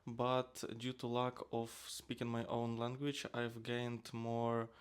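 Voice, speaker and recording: A young man from Ukraine, a native Ukrainian speaker with a Ukrainian accent, giving halting, hesitant answers as an interview guest. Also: medium pitch, completely flat delivery, smooth. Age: young adult. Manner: halting, hesitant with frequent uh pauses. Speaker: student guest.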